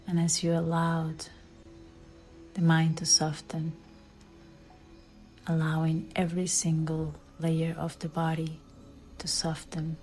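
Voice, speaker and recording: A woman leading a guided meditation in a soft, soothing way, with phrase endings that fall into breathy near-whispers. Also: low-pitched, monotone, slow pace, pauses often. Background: background music.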